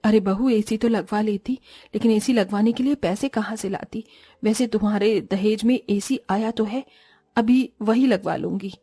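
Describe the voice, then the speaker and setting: A woman voicing a stingy, middle-aged Indian-family mother-in-law who speaks low, soft and weary. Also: normal speed, slightly rough, no echo. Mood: dismissive.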